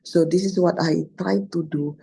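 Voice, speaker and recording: A middle-aged woman with an Indonesian accent shares her experiences as a guest scholar. Her voice sits low, with a dark, slightly raspy tone. She often repeats and rephrases as she searches for words. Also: fairly even intonation, normal speed. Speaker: guest speaker, Islamic scholar. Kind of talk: personal storytelling in an online talk.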